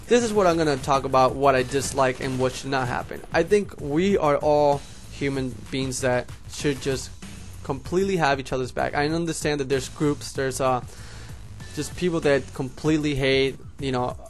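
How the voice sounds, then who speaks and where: Young puerto rican guy w a slight lisp, spanish first language, rambly vlog chat to his homies. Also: medium pitch. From Puerto Rico. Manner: rambling, talks straight to viewers as homies. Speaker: YouTuber. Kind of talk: casual vlog monologue.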